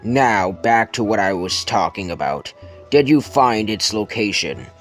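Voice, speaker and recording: A man's voice: fairly low pitch, lively intonation, measured pace, a bit bright, soft background music. A man voicing a power-hungry, threatening villain boss with a commanding manner in an amateur cartoon dub. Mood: stern, impatient.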